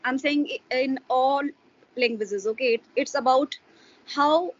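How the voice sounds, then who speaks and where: High-voiced woman, halting and hesitant. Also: highs cut off. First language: Hindi. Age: adult parent. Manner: hesitant, many fillers like "you know" and "like". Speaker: interview guest. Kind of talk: casual explanatory interview talk.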